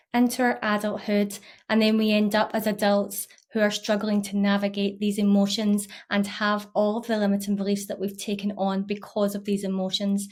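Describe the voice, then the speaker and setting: A woman in her thirties with a Scottish accent, talking to camera as a mindset coach in an earnest, encouraging way. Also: medium pitch. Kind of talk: casual talk to camera.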